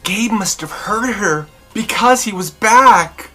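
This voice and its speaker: A woman narrates a children's story aloud. Her voice is bright and mid-pitched, with slow, deliberate, dramatic phrasing. Soft music plays underneath. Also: animated. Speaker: storybook reader.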